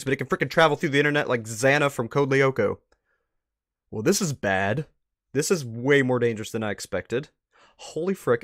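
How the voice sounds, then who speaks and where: A man doing casual live commentary as a streamer, talking in a slangy, excitable way with mild substitute swears. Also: medium pitch, no echo, studio quality. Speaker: streamer reacting to videos.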